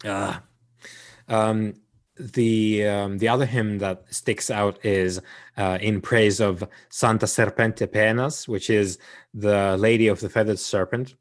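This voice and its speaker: A man narrating as game master of a tabletop game, hoarse and losing his voice, hesitant with many fillers. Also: lowish voice, steady tone, slow.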